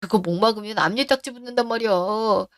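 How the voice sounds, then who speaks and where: A woman voicing a middle-aged man who is deep in debt and blames others, in a narrated radio story. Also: fast, no room sound, natural voice. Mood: pleading. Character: failing middle-aged man, indebted front-man boss.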